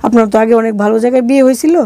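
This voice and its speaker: A woman's voice: on the high side, steady tone, racing, warm tone, dry recording. A middle-aged woman with a Bangladeshi accent telling a personal story conversationally as an interview guest, speaking colloquially and acting out quoted dialogue.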